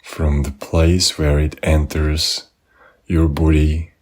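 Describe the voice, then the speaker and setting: A man leading a guided meditation, giving gentle, unhurried instructions with pauses. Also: very deep voice, flat delivery. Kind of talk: guided body scan meditation.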